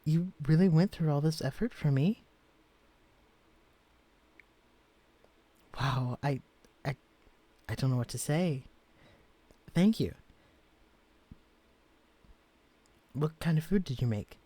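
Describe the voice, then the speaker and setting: A man voicing a gentle, shy young man who has been shrunk to tiny size, speaking softly and stammering when flustered. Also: fairly high pitch, animated, moderate pace, no room sound. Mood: delighted. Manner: soft-spoken, hesitant, stammering when flustered. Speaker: character in a listener-directed romance audio. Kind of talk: audio roleplay drama.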